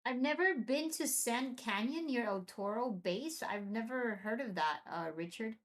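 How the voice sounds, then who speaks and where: A woman's voice: a little high, unhurried. Chatty woman live-streaming from telescopes. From Venezuela. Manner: chatty, excited, answers chat comments. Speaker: livestream host. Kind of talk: casual live-stream chat with viewers.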